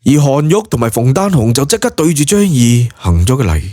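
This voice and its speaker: A man's voice: medium pitch, very animated, brisk, mellow, no room sound, clean studio sound. A man with a Cantonese accent narrating a scripted story in a dramatic audiobook style.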